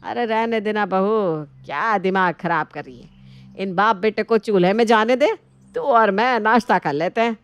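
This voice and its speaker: A woman playing a fussy, dramatic older mother-in-law who complains, in an animated family story. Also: medium pitch, moderate pace, clear, resonant tone, natural voice. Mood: dismissive.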